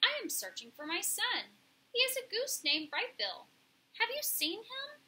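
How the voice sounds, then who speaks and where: A woman's voice: very high voice, very animated, sharp tone, voice pushed up, exaggerated voice. A woman voicing a polite, earnest female robot mother in a storybook, speaking with formal, carefully worded phrasing. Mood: polite, curious. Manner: formal, measured wording without contractions.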